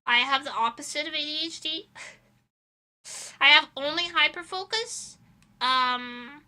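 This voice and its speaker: A woman's voice: sits high, unhurried, sharp tone. A young woman streamer chatting casually and telling rambling, informal, giggly anecdotes to her viewers. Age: young adult. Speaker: VTuber streamer. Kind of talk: casual livestream chat and storytelling.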